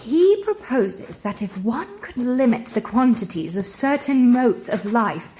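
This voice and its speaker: A playful young gentlewoman in a period audio drama. Her voice is fairly high and very expressive, taken at a slow pace. She is arch, curious and gently teasing in formal phrases. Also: a little hiss or hum. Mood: playful, interested. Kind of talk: theatrical audio drama acting.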